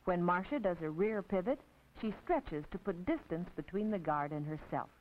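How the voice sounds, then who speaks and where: An American woman narrating an instructional film as a teacher, in a precise, didactic, scripted manner with measured phrasing. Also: on the low side, lively intonation. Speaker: narrator and physical education instructor. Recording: tinny, band-limited 1950s film soundtrack.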